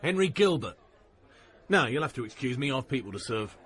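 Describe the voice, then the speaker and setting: A man's voice: mid-range voice, big pitch swings, fairly quick, fairly bright tone. An actor playing a brusque barman in a radio drama. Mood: brisk. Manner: brusque, bustling. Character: brisk male barman.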